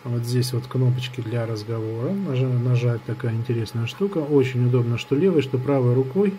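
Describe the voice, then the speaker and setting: A man's voice: medium pitch, brisk, warm tone, slight background noise. A young man talking casually in a product review, rambling with many fillers and restarts. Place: indoors at a wooden table.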